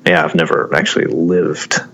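A man's voice: low-pitched, level tone, normal speed, fairly bright tone, rough recording. A man in his forties from North Carolina, chatting as a guest over a video call, rambling with lots of fillers and frequent laughs. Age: 40s. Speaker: guest musician.

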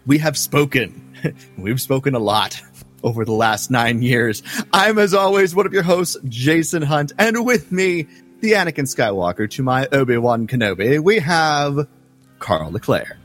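A man's voice: medium pitch, animated, measured pace, a bit bright, soft background music. A man co-hosting a podcast in casual, enthusiastic chat, laughing easily and restarting sentences. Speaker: podcast co-host.

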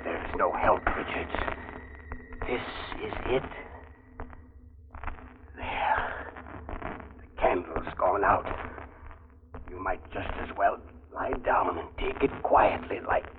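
An actor playing a grimly resigned, vengeful dying man in a 1940s radio drama, speaking slowly and deliberately. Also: deep voice, in a large hall. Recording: muffled noisy 1940s radio recording.

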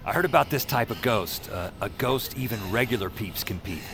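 An actor playing a wry paranormal investigator who narrates an audio drama in deadpan first-person noir style. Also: male voice, fairly low pitch, a little fast, creaky, background noise. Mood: casual, questioning. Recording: music behind the voice.

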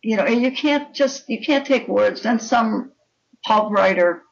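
Older woman, low gravelly voice, distant mic. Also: unhurried, highs cut off. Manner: opinionated, emphatic. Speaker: guest writer and anthology editor. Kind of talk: interview conversation.

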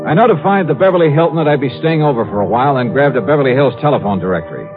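Male actor as brisk, slangy insurance sleuth: animated delivery, vintage radio drama, phone-like narrow recording. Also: medium pitch, over music, close to an everyday voice. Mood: matter-of-fact. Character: brisk, wisecracking male freelance insurance investigator. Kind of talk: 1950s radio detective acting with narration.

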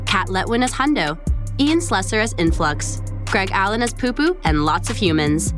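A woman announcer giving a scripted read in the manner of show credits. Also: medium pitch.

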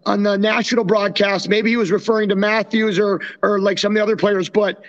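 A man co-hosting a casual sports podcast, speaking eagerly and haltingly as he rephrases himself, with dull radio-like sound. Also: sits high, flat delivery. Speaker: podcast co-host, former goaltender.

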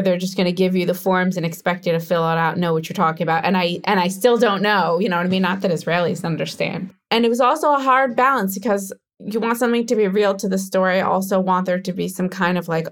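An American woman who created and stars in a show, answering interview questions in a candid, chatty way with many filler words. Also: fairly low pitch. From United States. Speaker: show creator and lead actor.

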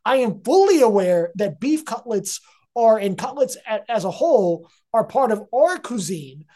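A man, a guest sports writer, breaking down football games in casual analysis, halting and restarting his sentences often. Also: sits high, sing-song, dark tone. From India. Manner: hesitant, many restarts and fillers.

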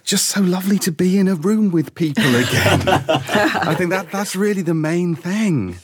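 A man's voice: sits high, moderate pace, a little hiss or hum. A man, a voice actor, chatting casually with polite, playful dry humour. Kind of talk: casual panel chat.

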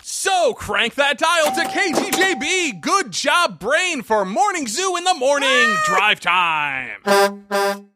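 A podcaster putting on a wild, shouty morning zoo DJ, with a high voice that swoops all over and loud music playing underneath. The patter is cheesy radio hype, delivered like a comedy skit. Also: big pitch swings, exaggerated voice. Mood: hyped. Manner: shouty, hyped, rapid-fire patter.

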